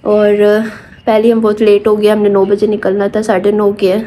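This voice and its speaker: A woman's voice: medium pitch, flat delivery, moderate pace, rough recording. A young woman vlogging casually to her phone, chatting about her day and mixing in English words. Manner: hushed, half-whispered indoors. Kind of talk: casual vlog chat. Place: home and auto-rickshaw. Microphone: phone held at arm's length.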